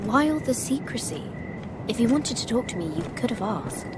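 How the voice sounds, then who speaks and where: An actress playing a polite, wary young noble handmaiden in a dramatic video game, guarded and questioning. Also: female voice, a little high, very animated, normal speed, very noisy.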